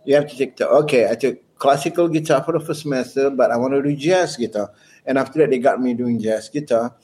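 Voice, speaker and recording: A man's voice: medium pitch, fairly quick, darkish tone. A man, a guest musician rambling through anecdotes in a casual interview chat. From Malaysia. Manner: rambling, anecdotal storyteller with chuckles.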